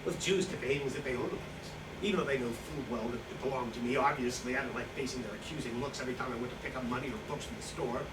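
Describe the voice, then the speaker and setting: A man playing a self-pitying, self-justifying wartime profiteer in a staged reading, halting and indignant, with nervous chuckles. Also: medium pitch, far from the mic, lightly acted. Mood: smug, aggrieved. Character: self-pitying, self-justifying Nazi-era profiteer who seized a bookstore. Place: live staged reading in a room. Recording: echoey, noisy hall recorded from afar.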